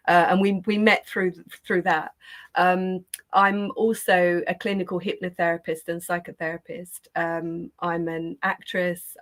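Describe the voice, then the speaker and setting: A woman guest, a positive psychologist, chatting casually in an interview and laughing often, from her garden shed. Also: on the low side.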